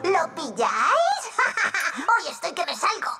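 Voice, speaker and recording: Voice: very high voice, huge pitch swings, slow, vocal fry, music in the background, full character voice. An actor playing a gleeful, boastful boy genius villain in a cartoon dub, gloating theatrically. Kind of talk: animated children's series dub.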